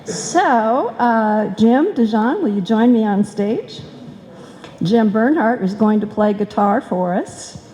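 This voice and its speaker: Female troupe director, soft-edged mellow voice, chatty and joking from the stage, long hall reverb. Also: fairly high pitch, animated, slow pace. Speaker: director of a radio players group. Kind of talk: informal speech to a live audience.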